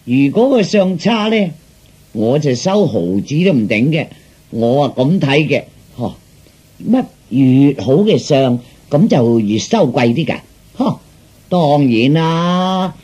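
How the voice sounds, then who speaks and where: An actor playing a grandiose, flattering street fortune teller who haggles slickly, in declamatory sing-song patter of comic storytelling. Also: male voice, mid-range voice, measured pace, husky. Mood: slick haggling. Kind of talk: theatrical Cantonese comic storytelling.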